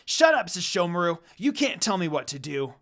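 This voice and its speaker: A man voicing a brash, hot-headed young half-demon in a story reading, exclaiming and arguing loudly. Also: sits high, sing-song, normal speed, full character voice. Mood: indignant, angry. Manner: loud, exclaiming, argumentative.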